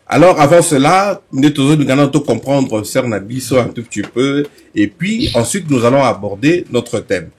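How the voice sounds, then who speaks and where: A man's voice: medium pitch, animated, normal speed, hardly any pauses, slightly rough. A man hosting an interview, attentive and formal, often murmuring agreement. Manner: attentive, frequent affirming murmurs, formal greetings. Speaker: interviewer, host. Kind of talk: interview conversation.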